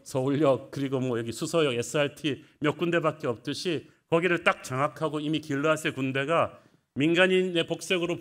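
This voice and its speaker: Brief Middle-aged pastor, sermon delivery, lively storytelling, voice slightly high for a man. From Gyeongsang, South Korea. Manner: storytelling, vivid retelling of scripture.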